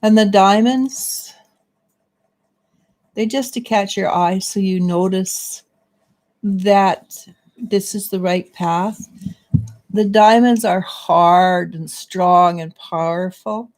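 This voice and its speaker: Woman, middle-aged, psychic reader, slow, gravelly, halting restarts. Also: mid-range voice, a little mellow. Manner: halting, searching for words with many restarts. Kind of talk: casual spiritual reading and chat.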